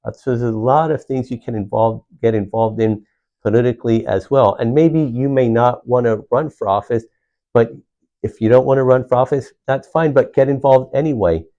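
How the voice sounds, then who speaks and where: A man's voice: mid-range voice, moderate pace, warm tone, muffled recording. A middle-aged man from Puerto Rico, a county commissioner answering interview questions earnestly, often restarting his phrases with "uh". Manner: earnest, repeats words and restarts with many "uh"s.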